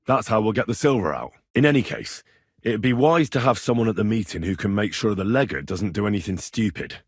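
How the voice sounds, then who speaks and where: A man playing a plucky, wry young medieval adventurer in video game dialogue, conversational and dry, with a slightly husky voice. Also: lowish voice, animated, a little fast, no room sound. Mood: businesslike, determined.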